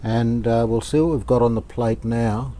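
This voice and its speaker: A man's voice: mid-range voice, moderate pace. A man gives casual hands-on repair commentary, thinking aloud in a tentative way. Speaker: hobbyist radio restorer presenting a repair video. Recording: steady background noise.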